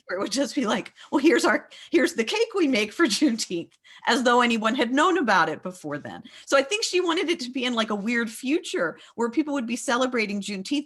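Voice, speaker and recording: A woman's voice: fairly high pitch, a bit bright. A woman leading a book discussion in a conversational way, often repeating words as she forms a point. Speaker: discussion leader at a book talk.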